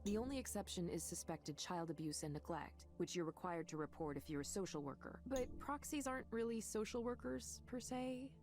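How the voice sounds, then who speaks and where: An actress as a low-voiced, sympathetic woman supervisor. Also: darkish tone, close to an everyday voice. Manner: measured, reassuring, explanatory. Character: gentle sympathetic female supervisor of counseling proxies. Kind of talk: visual novel game voice acting. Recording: music behind the voice.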